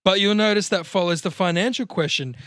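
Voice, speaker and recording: A man's voice: sits high, a little fast, fairly bright tone, no echo, studio quality. A man playing a crude, sarcastic slacker comic geek in an exaggerated comedy script read. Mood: mock-grand sarcasm.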